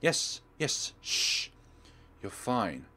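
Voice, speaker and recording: A man's voice: medium pitch, big pitch swings, drawn-out words, bright, forceful tone, heavily acted. A man voicing a protective teenage girl in a horror visual novel, explaining things with grave urgency. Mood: urgent, reassuring. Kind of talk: visual novel character read-aloud.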